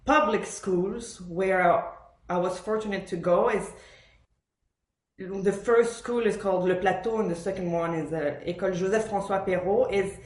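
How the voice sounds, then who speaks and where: A woman raised in Montreal talks as a podcast guest in an echoey room. Her pitch sits in the middle for a woman. She tells personal stories in a conversational way, voicing the remarks of others as she goes. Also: normal speed, darkish tone. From born in Argentina, raised in Montreal, Canada. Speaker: podcast guest, professional orchestral bassoonist. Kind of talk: conversational interview storytelling.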